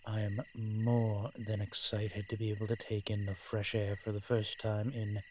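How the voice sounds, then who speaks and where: A man playing a courteous, centuries-old vampire in an audio roleplay, speaking in a measured, formal, genteel way with deliberate pauses. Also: fairly low pitch, little pitch movement, a little mellow, slight background noise, close to an everyday voice, rough recording. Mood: pleasant, reassuring. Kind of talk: scripted audio roleplay addressed to the listener.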